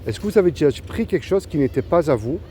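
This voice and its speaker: A man evangelizing in a street interview, asking passersby direct questions and slowing down to stress his points. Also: on the high side, lively intonation, warm tone. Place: city street outdoors. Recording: street noise behind.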